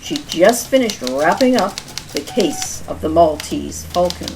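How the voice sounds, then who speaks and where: A woman announcing and narrating a radio-style mystery drama in a measured, dramatic storytelling manner. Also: fairly low pitch, lively intonation, noisy background. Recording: music clearly behind the voice.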